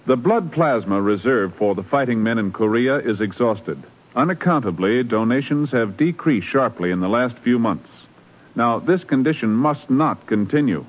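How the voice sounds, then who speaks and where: Formal male announcer, muffled old radio. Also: mid-range voice. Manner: formal, earnest. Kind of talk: 1950s radio announcement, scripted appeal.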